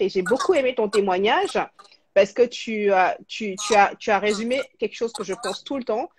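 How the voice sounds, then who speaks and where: A woman hosting a live video chat, speaking slowly and haltingly with many fillers. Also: a little high, a little mellow, slight background noise. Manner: slow, halting, heavy fillers. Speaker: host. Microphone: phone or video call.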